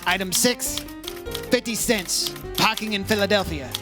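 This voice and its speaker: A man's voice: high voice, moderate pace, lightly acted. Guy playing a hard-boiled radio insurance detective, clipped and urgent, music behind him. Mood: matter-of-fact narration. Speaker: radio drama actor. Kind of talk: old-time radio detective acting.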